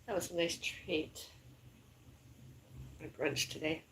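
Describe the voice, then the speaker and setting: A woman with a raspy voice, rambling casually on a live stream from her home kitchen. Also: sits low, fairly even intonation, takes it slowly, fairly bright tone, slight background noise, rough recording. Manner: rambling, chatty, calls out to someone in another room. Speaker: vlogger.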